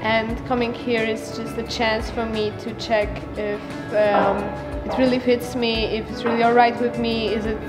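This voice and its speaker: A teenage girl from Poland, a student participant, speaking thoughtfully and slowly with fillers. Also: fairly high pitch, little pitch movement, echoey room, in a large hall, loud music behind the voice. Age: teens. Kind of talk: casual interview answer.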